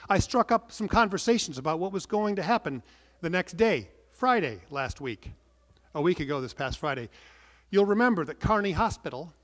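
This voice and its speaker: A middle-aged man preaching a sermon from the pulpit, earnest and measured, stressing some words and letting phrase endings fall soft. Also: a little high. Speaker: pastor. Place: large wood-panelled church, indoors. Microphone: pulpit microphone. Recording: long church reverb.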